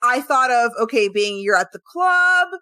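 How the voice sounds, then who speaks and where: A woman hosts a relaxed music interview, cheering her guest on with quick affirmations. Her voice sits a little high. The recording is dry and close. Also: dry recording. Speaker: interview host. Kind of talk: casual interview chat.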